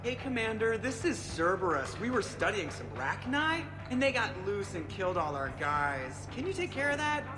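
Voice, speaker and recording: A man's voice: sits high, very animated, normal speed, hardly any pauses. A man playing a sarcastic, wisecracking spaceship pilot in a video game, delivering snarky quips with mock seriousness. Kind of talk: scripted game dialogue. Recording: music under the voice.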